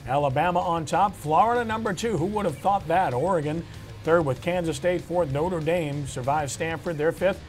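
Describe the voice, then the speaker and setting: A man's voice: on the high side, normal speed, faint music behind. A man hosting a sports talk show, delivering commentary and discussion like an anchor. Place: on location at a restaurant.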